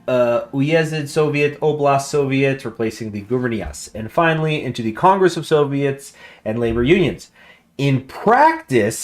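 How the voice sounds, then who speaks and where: A man casually commenting on his strategy game as he plays, thinking out loud and reading the in-game text aloud. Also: medium pitch. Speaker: gameplay commentator. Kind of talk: casual let's-play commentary. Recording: faint music underneath. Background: faint game music.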